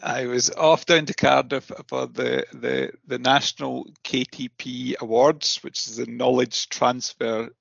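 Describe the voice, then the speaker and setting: A man hosting a podcast in a casual, halting chat style, often repeating his words. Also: mid-range voice, level tone, creaky, a bit bright, dry recording, lo-fi sound. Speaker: podcast host.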